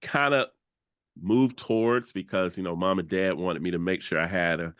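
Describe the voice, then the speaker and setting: A young adult man hosts a podcast with upbeat, exclamatory enthusiasm. His voice sits on the low side and is recorded dry, with no room sound. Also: normal speed, fairly bright tone, clean tone, dry recording. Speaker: podcast host. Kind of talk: casual interview chat.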